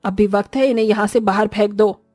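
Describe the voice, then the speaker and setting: A woman's voice: mid-range voice, fairly even intonation, fairly quick, a little mellow, no room sound, soft background music, lightly acted. A woman voicing a haughty, scornful older rich mother-in-law who scolds and orders people about in a moral-story cartoon. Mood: outraged. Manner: scolding, imperious.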